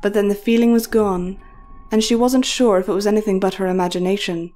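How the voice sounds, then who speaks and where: Mellow woman narrating a story. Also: mid-range voice, steady tone, fairly quick, faint music behind.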